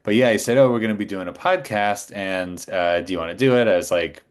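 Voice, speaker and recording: A man speaking as a podcast guest, informal and halting, often correcting himself as he goes. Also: lowish voice. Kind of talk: casual chat. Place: small home studio by a bedroom.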